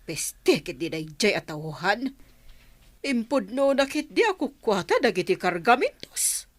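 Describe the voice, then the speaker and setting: A woman acting in an Ilocano radio drama as a sharp-tongued, money-hungry village wife, feisty and full of complaint. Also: medium pitch, big pitch swings, a little slow, no echo. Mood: furious, complaining.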